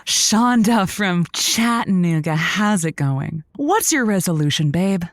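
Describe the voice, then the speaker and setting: A woman's voice: on the low side, expressive, takes it slowly, dry recording, clean studio sound. A woman playing a breezy, supportive radio advice host in an audio drama, with a playful, emphatic delivery. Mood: breezy, encouraging.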